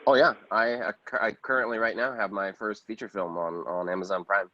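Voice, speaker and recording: A man's voice: fairly low pitch, steady tone, brisk, sharp tone, slight background noise. A man giving a casual interview as a guest, talking informally about his own work. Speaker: guest, actor and film producer. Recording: roomy echo, slightly muffled sound.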